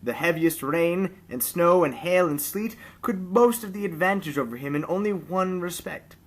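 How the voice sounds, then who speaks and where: A man's voice: sits high, normal speed. A man reading classic prose aloud as an amateur storyteller, with a theatrical, emphatic delivery.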